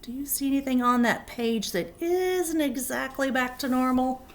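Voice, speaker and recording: A woman teacher reading a picture book aloud to young children, animated and expressive, with chatty asides. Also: sits high, normal speed.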